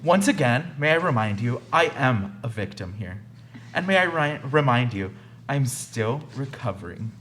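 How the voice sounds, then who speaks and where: A man's voice: medium pitch, sing-song, normal speed, fairly bright tone. A young man playing a pompous, self-promoting podcaster in a comedy radio play. His voice is mid-range and theatrically aggrieved, with faint noise behind it. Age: young adult. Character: self-important, whiny male podcaster milking his victimhood.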